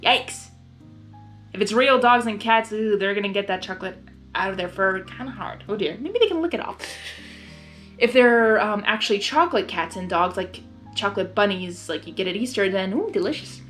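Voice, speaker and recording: A woman reading fan fiction aloud as host, excitable and hyper, breaking off for informal reactions. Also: mid-range voice, moderate pace. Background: music.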